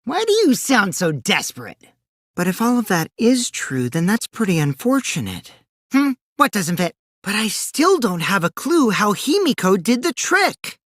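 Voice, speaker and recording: A man's voice: high voice, huge pitch swings, a little slow, a bit bright, no room sound, studio quality, full character voice. A man voicing a mischievous, taunting teenage boy schemer in a video game, with a light boyish voice and a theatrical, teasing delivery. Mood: teasing, breezy. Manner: theatrical, teasing, swinging between sing-song and cold. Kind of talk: animated video game dub acting.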